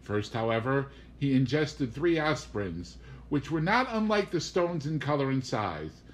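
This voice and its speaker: Man narrating a novel, mid-pitched. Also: big pitch swings, unhurried, slight background noise, rough recording. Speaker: audiobook reader. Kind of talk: scripted narration of a comic literary novel.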